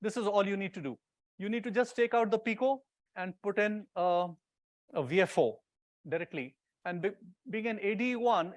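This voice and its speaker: A man gives an informal technical presentation. He explains things in a hands-on way, often pausing to check that it makes sense. The sound is dry, with very little room in it. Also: high voice, no echo. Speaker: conference presenter, radio builder.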